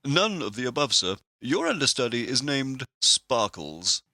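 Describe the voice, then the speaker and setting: Man playing a wry, crisp-mannered, animated stand-in aide. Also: medium pitch, measured pace, dry recording, full character voice. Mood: dry. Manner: dry, sardonic, clipped formal replies. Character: wry, formal male narrator standing in as presidential aide. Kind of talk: comedic audio drama acting.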